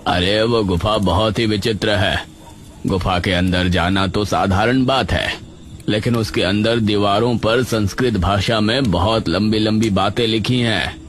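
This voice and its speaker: Man playing a grave, slow-talking village headman. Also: on the low side, steady tone, slight background noise, close to an everyday voice. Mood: ominous. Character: village headman telling of an eerie local mystery. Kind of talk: Hindi cartoon story dialogue.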